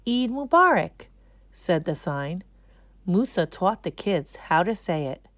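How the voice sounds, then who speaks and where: A woman reading a children's story aloud as an expressive narrator. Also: lowish voice, takes it slowly, no echo. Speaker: storybook reader.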